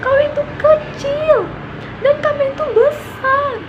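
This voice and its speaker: A conceited elephant sneers and boasts in a raised, sing-song voice, as a woman puts it on. It talks down with loud mockery. Passing traffic is clearly heard behind. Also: extremely high pitch, takes it slowly, highs cut off, voice pushed up. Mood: scornful. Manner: boastful, jeering. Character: arrogant mocking big elephant. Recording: noisy background with passing traffic.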